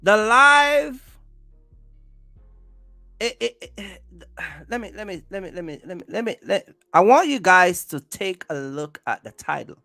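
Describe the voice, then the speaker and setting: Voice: mid-range voice, lively intonation, a little slow, gravelly, pauses often, sharp tone, no room sound, studio quality, faint music behind. A livestream host talks casually in an emphatic, rambling way, often repeating phrases and restarting. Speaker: livestream host, content creator.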